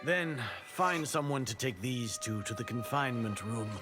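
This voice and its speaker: A man voicing a haughty, scheming older grand sage in a video game, speaking in a pompous, measured, formal way. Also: mid-range voice, animated, over music, close to an everyday voice. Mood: coldly commanding. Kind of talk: video game villain acting.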